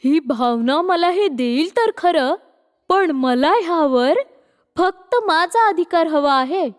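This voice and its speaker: A woman's voice: high voice, lively intonation, takes it slowly, big-room sound. A woman voicing a greedy, scheming woman villain in a cartoon story, covetous and plotting.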